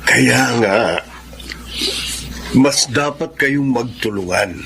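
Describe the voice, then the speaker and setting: A Filipino actor playing a caring older brother who gently scolds and counsels in a radio drama. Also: male voice, medium pitch, animated, drawn-out words, gravelly, a little hiss or hum, full character voice. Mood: earnest, advising.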